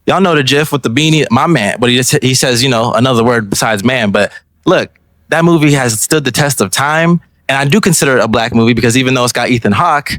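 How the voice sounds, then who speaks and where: A man's voice: mid-range voice, fast, fairly bright tone, no room sound. A man hosting an on-location podcast interview outdoors at a film festival, talking in a hyped, slangy, playful way. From Los Angeles. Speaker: podcast host interviewing filmmakers.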